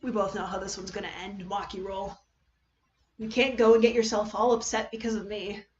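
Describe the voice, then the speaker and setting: A woman voicing a brave, weakened teenage boy hero with earnest, halting bravado in a dramatic story reading. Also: medium pitch, level tone, a little mellow, lo-fi sound, slight background noise, lightly acted. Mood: resigned comfort.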